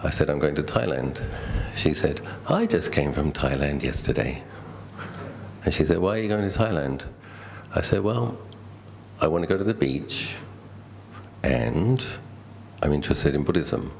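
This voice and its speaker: Casting: middle-aged Australian male, extremely low register, Buddhist teacher sharing personal anecdotes conversationally and reflectively, light background noise. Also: lively intonation, moderate pace, vocal fry. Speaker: Buddhist monk giving a talk. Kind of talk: dhamma talk with personal storytelling.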